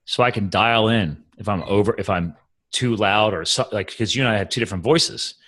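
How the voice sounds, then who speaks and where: Chatty low-voiced man talking podcast gear. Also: a little fast, fairly bright tone, slightly rough. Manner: chatty, rambling, talks with many restarts. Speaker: podcast host. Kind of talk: casual chat about podcast gear.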